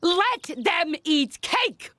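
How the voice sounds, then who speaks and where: Very high-pitched bratty teen whale girl. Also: sing-song, extremely slow, very noisy, exaggerated voice. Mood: indignant, imperious. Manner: whiny, imperious teen drama. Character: bratty teenage girl whale playing princess, indignant. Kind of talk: cartoon video game acting.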